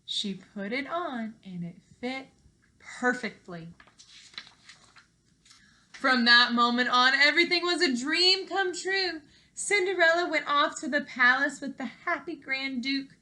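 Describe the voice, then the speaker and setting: A woman with a fairly high, bright voice talks like a primary school teacher to little kids. She is animated and encouraging, with simple, expressive phrasing suited to reading stories aloud and asking questions. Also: slow. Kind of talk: expressive children's read-aloud and lesson talk to young pupils. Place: living room at home.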